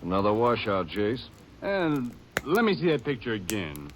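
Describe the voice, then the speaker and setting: An actor playing a loyal ranger partner in 1940s radio-drama style, easygoing and full of questions. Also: male voice, fairly low pitch, sing-song, moderate pace. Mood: curious. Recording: old radio broadcast with noise.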